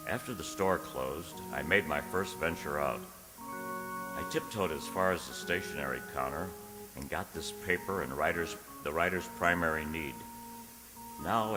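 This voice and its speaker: Male actor as fanciful poet, very low voice, theatrical diary narration, literary phrasing, radio play, long reverb. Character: fugitive male poet, secretive, fanciful, easily unnerved.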